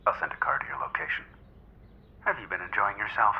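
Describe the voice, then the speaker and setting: An actor playing a controlling, menacing boss in an audio drama, curt and commanding through a telephone filter. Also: male voice, lowish voice, expressive, a little fast, creaky, slightly rough, highs cut off, exaggerated voice. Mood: cool, commanding.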